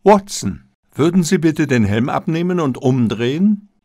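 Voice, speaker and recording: A confident male detective played by a male narrator holds forth in an audiobook. His delivery is deliberate, courteous and showmanlike, with lively swings in pitch. The sound is dry and close. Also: no room sound, exaggerated voice. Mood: assured, explanatory. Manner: deliberate, emphatic, polite, showmanlike. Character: confident, theatrical male detective addressing suspects.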